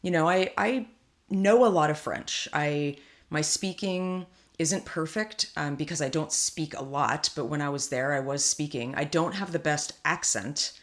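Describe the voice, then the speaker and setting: A woman from California with a low voice talks through her thoughts in a relaxed podcast chat. She rambles, circling back with plenty of fillers and half-started sentences. The tone stays conversational and easygoing throughout. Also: unhurried. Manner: rambling, thinks aloud with many fillers. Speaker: podcast co-host. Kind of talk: casual chat.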